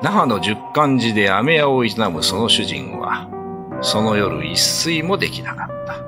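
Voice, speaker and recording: A man's voice: fairly low pitch, sing-song, moderate pace. A man narrating a ghost story in a dramatic radio drama reading. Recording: music under the voice. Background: background music.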